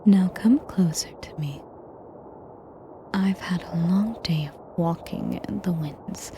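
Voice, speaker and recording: A woman playing a sadistic, possessive female dragon in an intimate audio roleplay, speaking in a measured, formal, breathy and commanding way. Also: medium pitch, dark tone, a little hiss or hum, close to an everyday voice. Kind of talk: slow, intimate audio roleplay addressed to the listener.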